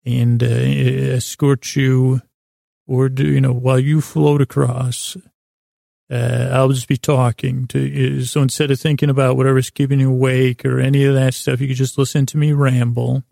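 A man hosting a sleep podcast, rambling in a halting, meandering way with a gravelly voice, often pausing to restate himself. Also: mid-range voice, level tone, dark tone, dry recording, clean studio sound. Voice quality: gravelly, creaky. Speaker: podcast host. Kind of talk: rambling bedtime monologue to help listeners sleep.

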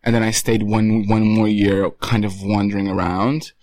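A young man from Portugal, a photographer, talking casually as an interview guest with many pauses and restarts. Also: fairly low pitch, level tone, a little mellow, no room sound, clean studio sound.

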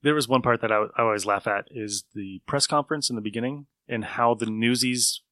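A bright, punchy male voice recorded very dry. He hosts a casual podcast chat in an animated, conversational way, with a medium pitch. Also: brisk, no room sound. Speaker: podcast host.

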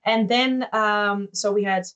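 A woman's voice: medium pitch, fairly even intonation, takes it slowly, fairly bright tone, muffled recording. A middle-aged woman whose first language is Dutch, chatting as an interview guest and laughing as she tells stories. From Netherlands. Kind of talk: casual interview conversation.

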